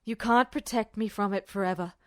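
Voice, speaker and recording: A young man torn up with guilt speaks low and strained, as a woman puts him on for a fan audiobook. He pleads and presses for answers. The sound is dry and close. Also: a little fast, dry recording, natural voice. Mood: insistent. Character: troubled young man, guilt-ridden soldier.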